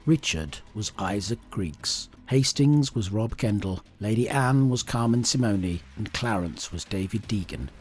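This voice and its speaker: A male announcer reads scripted credits in a fairly low voice. Music plays behind the narration. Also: lively intonation, measured pace, few pauses, a little mellow, husky.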